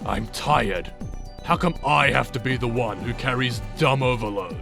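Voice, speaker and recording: A man playing a gravelly-voiced warrior being in an animated fan dub. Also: mid-range voice, a little slow, music in the background. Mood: grumbling, irritated. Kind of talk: fan dub character acting.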